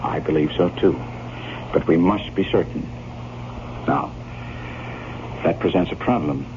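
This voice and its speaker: A very deep-voiced gentleman speaks with formal, earnest insistence, as if bound by a grave promise. He is anxious yet resolute. The old radio recording is dull and clearly noisy. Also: a little slow. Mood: urgent. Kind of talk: dramatic radio horror acting and first-person narration.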